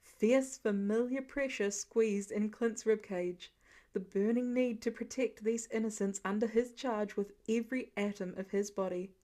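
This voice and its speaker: A woman's voice: mid-range voice, steady tone, unhurried, dark tone. A woman reading a scripted story aloud as the narrator of an audio fiction recording. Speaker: podfic narrator.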